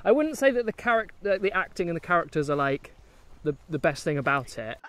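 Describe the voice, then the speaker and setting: A man's voice: a little high, big pitch swings, fairly quick, fairly bright tone. A man with an English accent chatting casually about films on a walk outdoors, musing and searching for his words. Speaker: film review vlogger. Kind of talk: casual film chat while walking. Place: outdoors, walking in woods.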